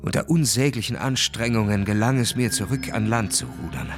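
An actor playing an officer who recounts his adventure in measured, dramatic literary radio narration. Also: on the low side, expressive, music in the background, close to an everyday voice. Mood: grave.

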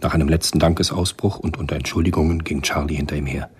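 An actor narrating a German radio spy thriller in a measured, scripted style. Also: male voice, rumbling low, steady tone, slightly rough, exaggerated voice.

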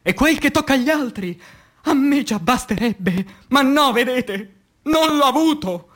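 A man playing an anguished, passionate revolutionary poet in a declamatory radio theatre recitation, with dramatic pauses and swings between hushed and loud delivery. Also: very high voice, lively intonation, heavily acted. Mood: bitter, insistent. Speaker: stage actor.

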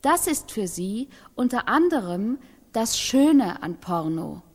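An actress in a radio play, playing a woman who delivers a careful, measured testimony as if prompted, in a reverberant space. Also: a little high, big pitch swings, husky, exaggerated voice. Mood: measured, assured. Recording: long reverberant hall.

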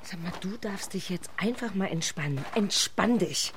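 A woman's voice: medium pitch, expressive, normal speed, background noise, natural voice. An actress playing a stressed, bossy, scheming woman in her forties in a German radio drama, brusque and confrontational. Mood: irritated.

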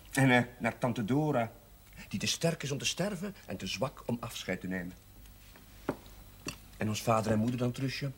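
A man playing a cheerful, warm-hearted young priest who laughs easily and gets exuberant. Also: medium pitch, a little hiss or hum, close to an everyday voice. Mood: joyful. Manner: exuberant, laughing, breaks into song. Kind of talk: 1970s television drama.

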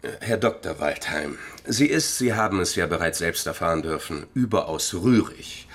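Pompous scholar, low husky male voice. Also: lively intonation, moderate pace. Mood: circumspect, polite. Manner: circumlocutory, self-important, enumerating. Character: pompous, wordy male museum scholar with doctorate. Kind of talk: German radio drama acting.

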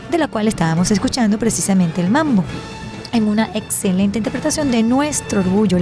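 Mellow woman presenting on radio. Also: mid-range voice. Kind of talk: scripted narration.

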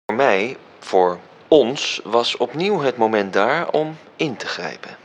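An actor playing a measured, wry storyteller, delivering scripted literary narration in a radio drama. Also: male voice, mid-range voice, expressive. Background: music.

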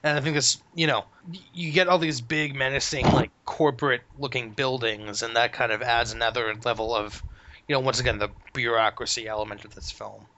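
A man's voice: mid-range voice, steady tone, brisk, pushed and bright. A man whose first language is German, chatting about films with emphatic, opinionated remarks. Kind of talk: casual film review chat. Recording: dull lo-fi podcast audio.

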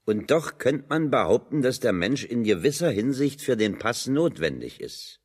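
An actor playing a stocky, blunt metalworker in a radio drama, gruff, plain-spoken and wryly sardonic. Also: male voice, medium pitch, sing-song, moderate pace, a little mellow. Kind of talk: German radio drama dialogue.

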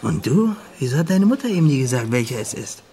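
An actor playing a streetwise, bossy young petty crook in a German radio crime drama, talking in brusque, needling slang. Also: male voice, medium pitch, big pitch swings, fairly quick, noisy background. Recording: music behind the voice.

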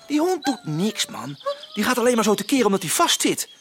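An actor playing an excitable, cheeky boy in a Dutch radio play, speaking in eager, slangy exclamations. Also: very high-pitched, fairly quick, a little hiss or hum, exaggerated voice. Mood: excited, curious. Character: excitable, cheeky boy Arne, dog-loving younger brother.

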